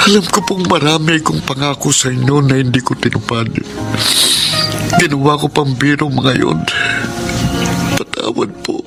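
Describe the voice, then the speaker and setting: A man's voice: mid-range voice, moderate pace, gravelly, pauses often, over loud music, natural voice. A man with a Filipino accent playing an earnest, pleading, repentant former gambler in a radio drama. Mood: tearful pleading.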